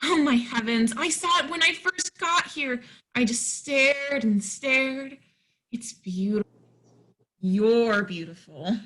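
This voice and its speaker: A woman's voice: sits high, animated, slow pace, fairly bright tone, exaggerated voice. A woman playing a naive, friendly and folksy young woman in a stage play reading. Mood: awed. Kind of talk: readers theatre play reading.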